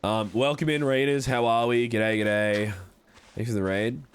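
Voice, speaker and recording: A man's voice: mid-range voice, fairly even intonation, a little slow, clean tone, close to an everyday voice. An Australian man voicing a friendly, polite cowboy with a put-on American Southern accent, chatting casually and folksily as he streams a game. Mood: easygoing, welcoming. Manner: folksy, courteous, rambling. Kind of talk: casual livestream chat over gameplay.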